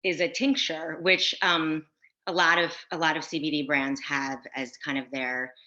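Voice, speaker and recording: A woman on a video-call panel, answering in a halting, casual way with many restarts and fillers. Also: deep voice, level tone, bright, forceful tone. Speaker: brand founder on a panel. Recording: dull lo-fi audio.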